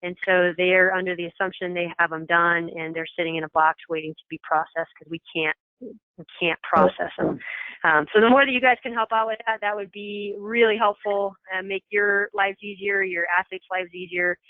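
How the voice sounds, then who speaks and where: A woman's voice: on the low side, steady tone, moderate pace, no room sound. Woman briefing coaches over a tinny phone line. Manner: chatty, many ums and repeated words. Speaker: staff member briefing coaches. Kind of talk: conference call briefing.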